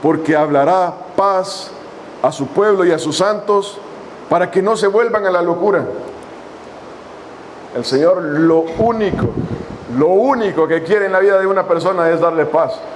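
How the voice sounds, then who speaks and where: High-voiced guy preaching, slow scripture reading, a bit of background hiss. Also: rough recording. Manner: preaching with long pauses, reading scripture slowly. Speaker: pastor. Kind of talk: sermon. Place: church hall.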